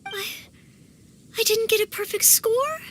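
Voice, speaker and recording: A woman's voice: very high voice, measured pace, fairly bright tone. An actress playing an earnest, anxious young trainee girl in a video game, with polite, careful speech. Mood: dejected, apologetic.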